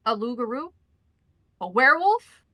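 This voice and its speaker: Woman playing a frustrated, bright-voiced captive vampire woman. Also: fairly high pitch, big pitch swings, normal speed, no room sound, studio quality, heavily acted. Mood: wary, incredulous. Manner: rambling, mouthy, pleading. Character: captured female wandering vampire, frustrated, wary, bargaining.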